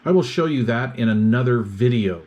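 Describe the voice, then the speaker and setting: A man's voice: medium pitch, expressive, fairly quick, a little mellow, highs cut off. Man methodically demonstrating a product. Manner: methodical, itemizing features in short phrases. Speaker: product reviewer demonstrating radio gear.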